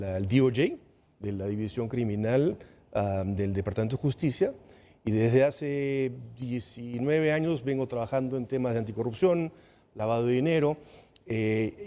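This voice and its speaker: A man's voice: mid-range voice, mellow, big-room sound. A man from Peru with a Peruvian accent, a lawyer guest explaining corruption and compliance on a panel show. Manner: storytelling, explanatory. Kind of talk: panel discussion.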